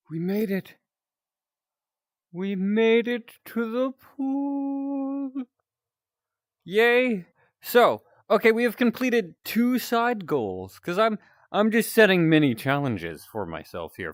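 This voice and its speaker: A man with a deep, announcer-like voice, chatting casually with viewers while he plays a game. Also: slow, long pauses, dry recording, clean studio sound. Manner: relaxed, rambling, chatting to viewers. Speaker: streamer.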